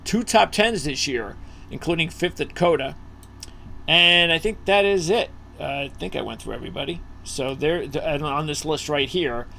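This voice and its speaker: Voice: medium pitch, moderate pace, creaky, bright, forceful tone, a little hiss or hum. A host leading a casual racing betting preview over a video call, thinking aloud with fillers while scanning a list.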